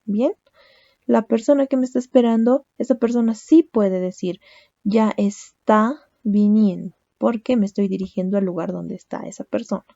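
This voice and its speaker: A woman's voice: mid-range voice, moderate pace, vocal fry, warm tone, no room sound, lo-fi sound. A young woman teaching a language lesson, explaining step by step and often checking with a questioning "¿no?". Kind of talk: recorded lecture.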